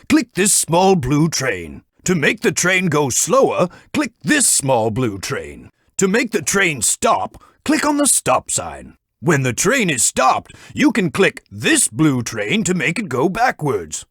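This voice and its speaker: A kindly, proper railway director for a children's game; husky, very lively pitch, dry studio sound. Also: male voice, slow pace, dry recording, heavily acted. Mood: instructive. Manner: encouraging, formal, patient instruction. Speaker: in-game guide giving instructions. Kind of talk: game voice clips.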